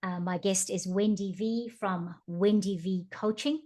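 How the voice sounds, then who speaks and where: A woman hosts an online interview show and welcomes her audience and guest. She speaks in a bright, friendly way, often pausing on filler ums. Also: mid-range voice, fairly even intonation. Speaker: host.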